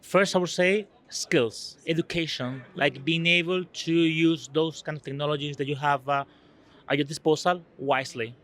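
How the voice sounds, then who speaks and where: Earnest man, fairly high voice. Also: steady tone, normal speed, fairly bright tone. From Spain. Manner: earnest, searching for words, non-native phrasing. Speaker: EU delegate guest. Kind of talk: conversational interview answers.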